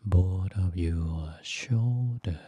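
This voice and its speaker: Man, meditation teacher, mellow dark tone, breathy and soft, dry close sound, soothing, unhurried short phrases. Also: sits low, no room sound. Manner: gentle, soothing, long pauses between short phrases. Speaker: Buddhist monk teaching meditation. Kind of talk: guided meditation.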